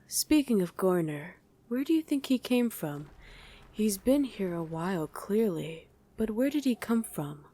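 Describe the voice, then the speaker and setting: A woman's voice: medium pitch, very animated, a little slow, warm tone. A woman playing a wry, easily irritated demon in a conversational ASMR roleplay, chatting and bickering with a partner. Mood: casual, curious. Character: female demon on mortal-hunting duty, wry, easily irritated. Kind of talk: ASMR roleplay acting to an unheard listener.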